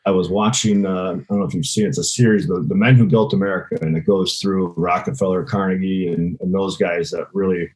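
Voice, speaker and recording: A man's voice: sits low, fairly even intonation, a little fast, hardly any pauses, darkish tone, rough recording. A man speaking as a podcast guest and real estate investor, answering conversationally.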